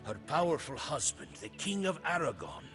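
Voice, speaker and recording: A man's voice: on the high side, very animated, normal speed. An actor playing a loyal soldier who solemnly and theatrically recounts his captain's campaigns as the storybook narrator of a game. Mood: grave, dramatic. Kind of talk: dramatic storybook cutscene narration. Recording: studio narration under loud music.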